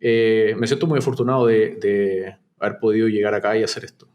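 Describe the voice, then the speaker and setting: A man from Chile with a softened Chilean accent, a startup founder talking reflectively as an interview guest, with many restarts. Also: lowish voice, steady tone, brisk, lo-fi sound. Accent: Chilean, softened toward neutral Spanish. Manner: reflective, frequent restarts and fillers. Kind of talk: casual interview conversation.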